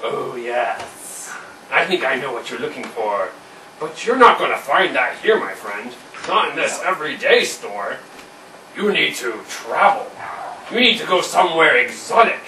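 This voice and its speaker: A man's voice: fairly high pitch, big pitch swings, unhurried, pushed and bright, distant mic, full character voice. A man voicing a pushy pig shopkeeper with a chuckling salesman's patter, in an amateur home puppet show. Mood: scheming. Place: indoor room with a puppet stage. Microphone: far camera. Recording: roomy noisy home video from afar.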